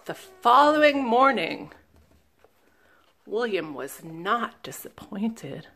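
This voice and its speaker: A woman, a children's librarian, reading a picture book aloud slowly and gently, stopping to ask the listeners questions. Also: on the high side, sing-song. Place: indoors at a table, camera above the book.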